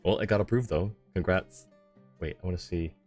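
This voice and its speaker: Very deep-voiced laid-back male streamer. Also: brisk, darkish tone. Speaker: game streamer. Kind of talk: casual live-stream chat and read-aloud game dialogue. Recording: game music behind the voice.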